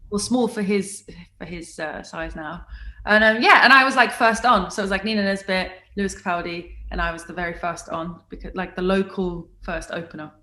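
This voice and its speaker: A woman's voice: on the low side, brisk, sharp tone. A woman from Switzerland, a musician guest chatting casually and bubbly in an interview.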